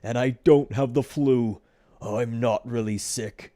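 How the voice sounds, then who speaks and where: A man's voice: mid-range voice, fairly even intonation, measured pace, warm tone, natural voice. A man voicing a selfish, scheming flea from a children's storybook, melodramatic, whiny and boastful. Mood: gleeful, gloating. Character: selfish, scheming male flea feigning illness.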